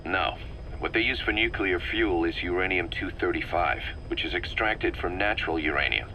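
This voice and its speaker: A man's voice: deep voice, moderate pace, sharp tone, a little hiss or hum, low-quality recording. A man voicing a knowledgeable technical advisor explaining weapons technology in a video game.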